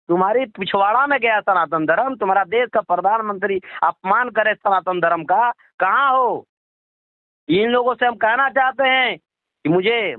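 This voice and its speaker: A man's voice: very high-pitched, no echo. An Indian man, speaking indignantly in a political phone interview, repeating himself and posing rhetorical questions. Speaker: political activist being interviewed. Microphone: phone call. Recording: muffled lo-fi phone call recording.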